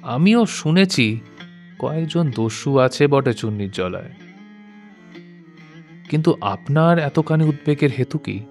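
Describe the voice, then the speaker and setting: A man's voice: fairly high pitch, lively intonation, measured pace, long pauses. An actor playing a deferential, courteous man of standing in an audio story, guarded and concerned, speaking in a respectful old-fashioned way. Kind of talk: dramatized audio story acting. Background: plucked string instrument music.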